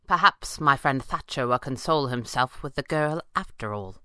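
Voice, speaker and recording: A man's voice: medium pitch, animated, normal speed, sharp tone, smooth, no echo, studio quality. A man voicing a greedy, cruel villain in a pulp adventure audiobook, speaking with menacing mock courtesy. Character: oily, greedy male villain, smooth-talking, cruel mercenary.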